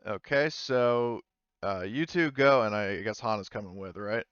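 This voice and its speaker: Man, game master, improvised halting narration, casual swearing, clean-toned, dry close sound. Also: fairly low pitch, fairly bright tone, smooth, no room sound, lo-fi sound.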